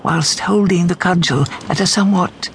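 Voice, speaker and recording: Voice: medium pitch, moderate pace, gravelly, slight background noise, natural voice. An actor reading as the omniscient storyteller of a fairy tale in a scripted radio drama. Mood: measured storytelling. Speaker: narrator. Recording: music under the voice. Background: music.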